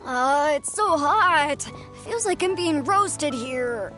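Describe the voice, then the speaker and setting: A woman's voice: sits high, lively intonation, slow, pushed and bright, soft background music, full character voice. An actress voicing an earnest, slightly clumsy young woman at a festival in a game. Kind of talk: game cutscene voice acting.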